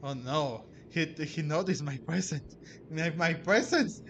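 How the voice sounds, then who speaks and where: A man's voice: a little high, unhurried, a little hiss or hum. A man giving casual, chatty gameplay commentary, reacting aloud to the game as he plays. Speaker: game streamer. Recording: game music behind the voice. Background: game music.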